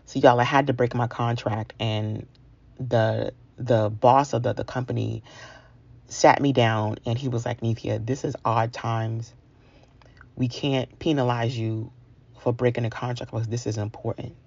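A woman's voice: very deep voice, steady tone, measured pace, gravelly, a little mellow, slightly rough, lo-fi sound. A woman of around forty from New York, telling a personal story in a candid, conversational, confiding way. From New York, United States. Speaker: featured storyteller.

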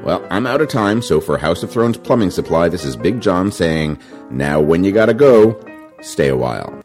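A man playing a big, folksy plumbing supply salesman with deadpan patter in a spoof radio ad. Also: sits low, moderate pace, over music. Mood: deadpan, salesy.

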